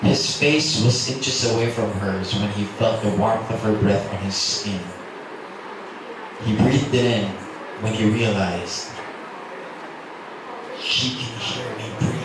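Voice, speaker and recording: A man's voice: on the low side, a little slow. Man narrating romance in an echoey room. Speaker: narrator, reader at a literary festival. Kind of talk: dramatic scripted narration of a romance novel. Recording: echoey, noisy room from far off.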